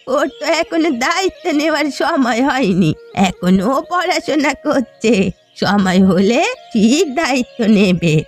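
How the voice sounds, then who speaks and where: Woman playing a high-voiced, plaintive old fisherwoman. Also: big pitch swings, a little slow, soft background music. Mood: resigned. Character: poor old village fisherwoman, weary and sorrowful. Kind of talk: Bengali cartoon story dialogue.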